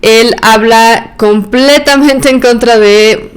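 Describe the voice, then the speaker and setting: A woman's voice: a little high, takes it slowly, smooth. A woman from Argentina and Bolivia hosting a solo podcast, giving chatty, opinionated commentary with frequent fillers and restarts. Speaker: podcast host.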